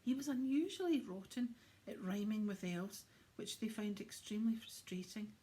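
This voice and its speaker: A woman narrating a children's storybook in an expressive, often hushed and dramatic way. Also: medium pitch, moderate pace, a little hiss or hum. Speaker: storybook reader.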